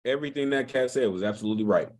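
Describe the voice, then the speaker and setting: A man's voice: mid-range voice, rapid-fire, a little mellow. A man hosting an online poetry open mic, effusive and emphatic, with slangy talk and repeated phrases. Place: online video call.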